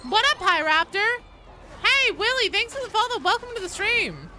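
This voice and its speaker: A woman hosting a game livestream, chatting casually with viewers in an animated, sweary, self-deprecating way. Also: very high voice, moderate pace. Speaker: livestream host. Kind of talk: casual game-stream chat, answering viewer comments. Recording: clear background noise behind voice.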